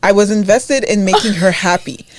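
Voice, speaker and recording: A woman's voice: medium pitch, moderate pace, no echo. A woman whose first language is not English, co-hosting a casual podcast and reading out a listener's story, often breaking into laughter. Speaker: podcast co-host.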